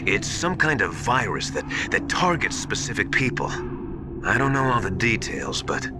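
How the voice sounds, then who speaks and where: Animated, anxious scientist sidekick. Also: male voice, lowish voice, moderate pace. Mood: hushed, uneasy. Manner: hesitant, confiding. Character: earnest, anxious male scientist ally confiding secrets. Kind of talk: dramatic video game acting. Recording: loud music behind.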